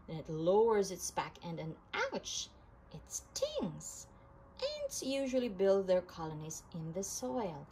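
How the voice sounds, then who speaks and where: A woman reads a children's nonfiction book aloud at a slow pace. Her medium-pitched voice rises and falls in a lively way as she sets out each short phrase deliberately. Some ambient noise sits behind her. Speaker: read-aloud reader of children's books.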